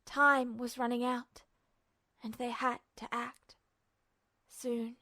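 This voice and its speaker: A woman's voice: on the high side, flat delivery, slow pace, bright, forceful tone. A woman reading a story aloud as a scripted audiobook-style narration. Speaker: fan fiction podfic narrator.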